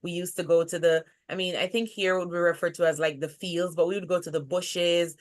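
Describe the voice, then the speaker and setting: A woman's voice: lowish voice, little pitch movement, fairly quick. A woman with a Jamaican accent, talking conversationally as a podcast guest. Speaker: podcast guest, leadership coach. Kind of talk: conversational interview.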